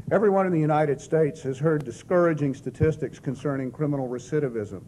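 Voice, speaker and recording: A man with a non-Southern accent, the head of a county's corrections division, giving a formal prepared presentation in a measured, steady way. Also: medium pitch, slight background noise, rough recording. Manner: measured, reads statistics steadily. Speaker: director of corrections presenting a program. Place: hall at a press club.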